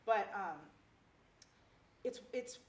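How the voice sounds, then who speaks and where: A woman's voice: mid-range voice, extremely slow. A nineteen-year-old woman from California talking earnestly in a casual panel discussion, restarting her sentences as she thinks aloud. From Torrance, California. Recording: roomy, distant recording.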